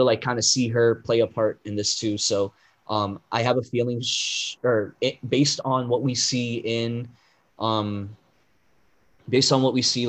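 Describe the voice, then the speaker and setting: Rambling casual man chatting on a podcast. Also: lowish voice. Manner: rambling, heavy on "like" and "um" fillers; gets loud and animated when riled up. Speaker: podcast co-host. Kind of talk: casual pop-culture chat.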